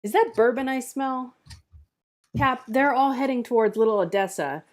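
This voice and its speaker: A woman's voice: a little high, normal speed, lightly acted. Woman as a defiant, sardonic female cop. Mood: urgent, defiant. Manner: sardonic, blunt. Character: defiant, sarcastic, foul-mouthed female police officer. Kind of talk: table read of a screenplay.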